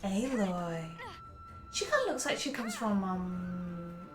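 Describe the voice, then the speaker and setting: Gushing woman, lively high voice, roomy sound. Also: takes it slowly. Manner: gushing, playful, admiring exclamations. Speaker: gaming video reactor. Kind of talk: casual reaction commentary. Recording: roomy echo, game music behind. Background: game trailer music.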